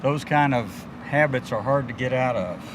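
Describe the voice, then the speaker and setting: A man's voice: mid-range voice, normal speed. Folksy man in his sixties, noisy background. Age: 60s. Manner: playful, sly, folksy. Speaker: airport worker interviewed on location. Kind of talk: casual chat. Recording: noisy field recording, vehicle sounds.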